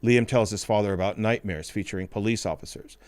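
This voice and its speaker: A male podcast host reading a scripted news report in a grave, measured way. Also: on the low side, a little mellow, dry recording.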